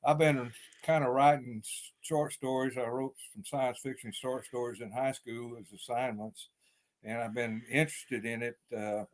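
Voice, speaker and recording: Older East Texas man, interview guest, rambling and chuckling, mid-pitched voice. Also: fairly even intonation, moderate pace. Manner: rambling, many fillers and restarts, chuckles often. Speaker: author guest. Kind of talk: casual interview chat.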